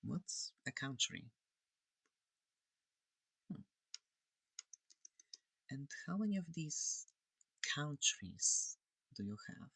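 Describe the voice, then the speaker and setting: A friendly alien examiner played by a performer in an ASMR roleplay, speaking slowly and softly in a close, dry sound. The voice is breathy with some gravelly creak, and the manner is curious, polite and gently reassuring. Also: medium pitch, big pitch swings, no echo, heavily acted, poor audio. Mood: curious, thoughtful. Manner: soft-spoken, hesitant, gently inquisitive.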